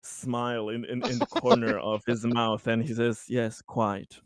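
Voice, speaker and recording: A man playing a tabletop roleplaying game, speaking casually and haltingly, often stammering and repeating words. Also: mid-range voice, little pitch movement, clear, resonant tone, dry recording, clean studio sound.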